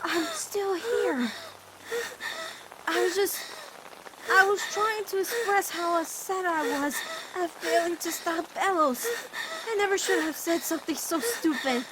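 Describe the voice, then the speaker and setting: A woman voicing an anguished, devoted teenage girl in an emotional cartoon fan dub, with dramatic, halting, pleading delivery. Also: very high voice, noisy background. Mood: soothing. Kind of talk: emotional animated-series fan comic dub.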